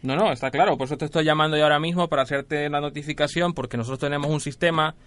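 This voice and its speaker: A man's voice: a little high, steady tone, racing, fairly bright tone, slight background noise, natural voice. A man playing a polite, insistent hotel administrator on a prank call, officious and apologetic as he repeats himself. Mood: politely insistent. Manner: officious, apologetic, repetitive, hesitant phrasing. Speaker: radio prank caller. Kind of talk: prank phone call, improvised role-play.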